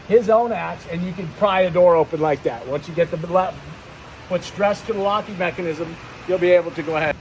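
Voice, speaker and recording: A man's voice: high-pitched, a little hiss or hum, rough recording. A man giving an unscripted, hands-on demonstration as a firefighting instructor, often repeating and restarting his phrases as he explains.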